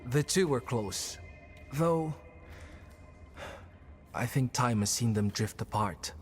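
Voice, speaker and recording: Earnest young druid companion, mid-range voice, dramatic game dialogue over clear music. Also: male voice, mid-range voice, animated, takes it slowly. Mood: wistful.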